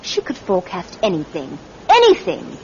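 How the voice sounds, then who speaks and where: A woman playing a scheming, sharp-tongued grown daughter in an old radio drama, cutting and persuasive, both narrating and acting. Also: fairly low pitch, huge pitch swings, moderate pace, slight background noise. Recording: dull vintage radio, music behind.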